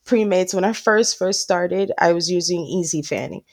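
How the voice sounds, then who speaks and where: A chatty woman talking shop as a guest on a podcast, with a lowish voice. She slips into little voices when she quotes people and laughs easily. The recording is close and dry, with no room sound. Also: moderate pace, dry recording. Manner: chatty, acts out quoted dialogue, laughs often. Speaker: lash artist and trainer, podcast guest. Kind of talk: casual interview chat. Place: based in Tampa.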